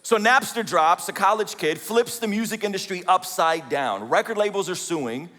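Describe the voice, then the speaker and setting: A man's voice: sits high, expressive, moderate pace, fairly bright tone. A man in his forties from Azerbaijan, telling his life story with self-deprecating jokes as a conference speaker. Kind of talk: personal story talk to a conference audience. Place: large conference hall. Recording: long hall reverb.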